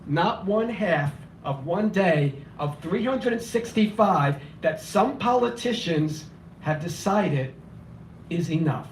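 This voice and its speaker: High-voiced man reciting poetry, slightly hoarse. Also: lively intonation, takes it slowly, slight background noise. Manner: impassioned, declamatory, rhythmic repetition. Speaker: open mic poet. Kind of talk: spoken word poetry reading. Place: small cafe open mic. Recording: echoey room, voice from far off.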